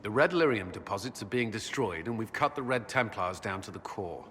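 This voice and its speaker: Resolute, earnest game commander, lively intonation, purposeful and formal, in a reverberant hall. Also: male voice, lowish voice, a little fast, slight background noise. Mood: grave, measured. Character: earnest, resolute male military commander of a fantasy army. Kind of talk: video game cutscene acting.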